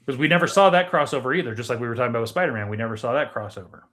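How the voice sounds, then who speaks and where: A man co-hosting a podcast, talking casually about films in an explanatory way, thinking aloud with pauses as he goes. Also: mid-range voice, fairly bright tone. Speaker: podcast co-host. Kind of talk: casual film discussion.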